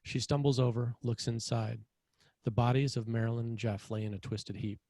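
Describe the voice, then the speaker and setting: A man's voice: mid-range voice, little pitch movement, moderate pace, dark tone, no room sound. A man narrating screenplay scene headings and action in a scripted read.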